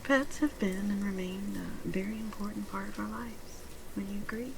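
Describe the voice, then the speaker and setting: Female performer as polite digital pet shop clerk, ASMR roleplay. Soft, measured, near-whispered at times, mid-range voice, audible ambience behind. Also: medium pitch, steady tone, close to an everyday voice. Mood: earnest sales pitch. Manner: courteous, measured, often dropping into near-whisper. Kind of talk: soft-spoken ASMR roleplay, customer-service patter. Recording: clearly audible ambient background noise.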